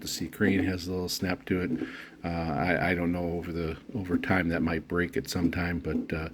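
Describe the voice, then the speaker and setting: A man giving unscripted unboxing commentary as a product reviewer, speaking haltingly with frequent pauses mid-phrase. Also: sits low, noisy background.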